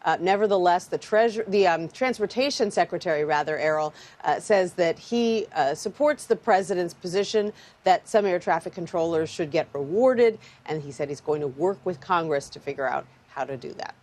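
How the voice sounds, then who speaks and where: A woman giving live news analysis as a reporter, halting and correcting herself as she goes. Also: on the low side, darkish tone.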